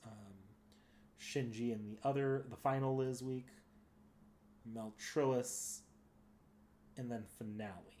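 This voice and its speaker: Rambling male streamer thinking out loud. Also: mid-range voice, slight background noise. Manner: rambling, hesitant, often self-correcting. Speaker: live game streamer. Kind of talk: casual unscripted stream chat, thinking aloud.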